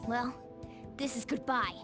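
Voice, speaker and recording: A woman's voice: a little high, unhurried, pitched above the performer's own voice, lightly acted. A woman voicing a small, proud male talking cat who sulks and bristles at others. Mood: sulky. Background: game music.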